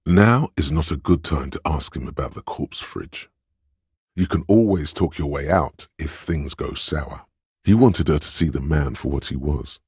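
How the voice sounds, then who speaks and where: An actor voicing a sly inner voice in a video game, reading people and coaching in a measured, knowing, insinuating way with a gravelly, husky delivery. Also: male voice, extremely low pitch, a little mellow, no room sound, clean studio sound, exaggerated voice. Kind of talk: scripted video game narration.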